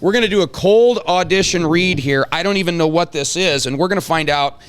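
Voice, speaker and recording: A man with a fairly high voice hosts a casual, playful chat. He talks in a mock-dramatic way, hyping up the moment and prompting the others. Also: fairly quick, clean tone. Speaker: host.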